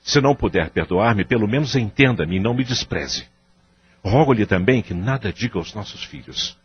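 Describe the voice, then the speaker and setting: A man's voice: fairly low pitch, sing-song, moderate pace, slightly rough, muffled recording, heavily acted. A man acting in an audio drama with a Brazilian accent, playing a guilty, remorseful husband who implores in formal phrasing. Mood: remorseful, pleading.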